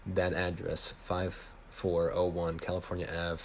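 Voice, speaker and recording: A man's voice: low voice, completely flat delivery, unhurried. An American man reading his own written story aloud as an amateur storyteller. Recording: noisy home recording.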